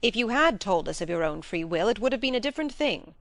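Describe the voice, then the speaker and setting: A woman's voice: medium pitch, sing-song, quick pace, dry recording. A woman voicing a firm, fair-minded male baronet with a direct, emphatic and formal manner, in a dramatised novel reading. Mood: indignant, firm.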